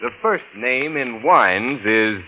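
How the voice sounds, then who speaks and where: A man's voice: medium pitch, animated, slow pace, low-quality recording. An American man delivering a scripted 1940s radio commercial with polished, formal salesmanship. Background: faint music.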